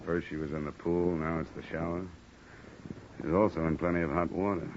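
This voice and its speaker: An American man acting a wry, hard-boiled insurance investigator in an old-time radio noir drama, deadpan and wisecracking. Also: low voice, brisk, slight background noise, close to an everyday voice. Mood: dry, pointed. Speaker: actor narrating and playing a detective. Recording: dull lo-fi old radio recording, music behind.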